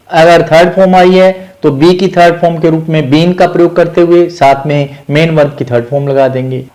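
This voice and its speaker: A man's voice: on the high side, a little mellow. A man with an Indian accent explaining grammar as a teacher, methodically repeating key points and mixing in English terms.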